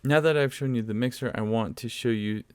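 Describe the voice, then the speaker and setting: A man's voice: mid-range voice, fairly quick, a little mellow, clear, resonant tone, dry recording. A man talking casually and explaining things as he demonstrates a product in a review, recorded at home on a condenser mic. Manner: conversational, explanatory. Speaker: microphone reviewer. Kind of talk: casual product demo talk. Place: open room at home, in a dome house. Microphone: condenser mic on a mixer, fairly close.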